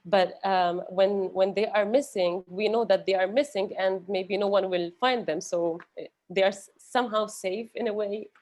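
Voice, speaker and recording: A woman's voice: mid-range voice, fairly even intonation, moderate pace, darkish tone. A woman giving an unscripted, reflective talk about research, hesitant and thoughtful as she searches for words. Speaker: guest speaker, researcher.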